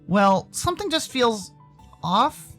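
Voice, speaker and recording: A man's voice: very high voice, sing-song, fairly bright tone, pitched above the performer's own voice, heavily acted. Anxious young furry hero, played by a man: hesitant, wavering intonation, visual novel with faint music. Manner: halting, unsure.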